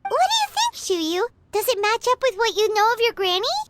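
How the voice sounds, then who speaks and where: Squeaky, bouncy little companion girl. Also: big pitch swings, noisy background. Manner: bubbly, exclamatory, fast swings in pitch. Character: tiny excitable floating girl companion. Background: game background music.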